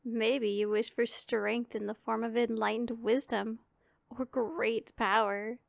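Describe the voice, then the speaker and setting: A woman performs a powerful demon lady in an intimate ASMR roleplay. Her voice sits fairly high with gravelly fry, recorded very dry. She is imperious and teasing, choosing formal words deliberately, with care hidden under the menace. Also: little pitch movement, no room sound, exaggerated voice. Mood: haughty, probing. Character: powerful female demon, haughty, teasing, secretly caring.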